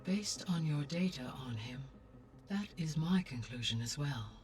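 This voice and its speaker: A serene female artificial intelligence speaks in formal, carefully measured sentences. She sounds wise and analytical, in game dialogue over faint music. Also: sits low, lively intonation, a little mellow. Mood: composed, analytical. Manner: composed, deliberate, precise. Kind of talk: formal video game cutscene acting. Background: faint music behind the voice.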